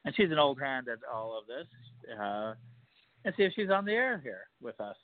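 An older man hosts a casual podcast, rambling and hesitating with plenty of fillers. His voice sits at a middle pitch and comes through a thin, phone-like line. The sound is close and dry. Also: big pitch swings, normal speed, no room sound. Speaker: podcast host.

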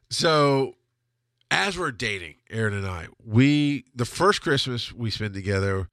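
A man's voice: mid-range voice, lively intonation, slow pace, fairly bright tone, no room sound. A man hosting a podcast from his home studio, telling a long personal story in a rambling, profane way with a stuffy nose and frequent laughs. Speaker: podcast host. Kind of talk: casual storytelling monologue.

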